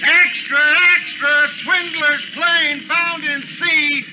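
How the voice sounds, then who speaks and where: Paper seller shouting extras, really high and slow monotone cries, old radio play. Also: male voice. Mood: urgent hawking. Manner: drawn-out street-seller cries. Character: street newsboy loudly hawking extra editions. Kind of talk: 1940s radio acting. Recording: dull old radio recording with music. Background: loud music.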